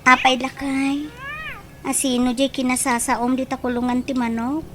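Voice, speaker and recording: A woman playing a lazy, demanding pregnant wife who pleads in a drawn-out whine, in a comedic radio drama. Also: high voice, flat delivery, music in the background, lightly acted. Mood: sulky.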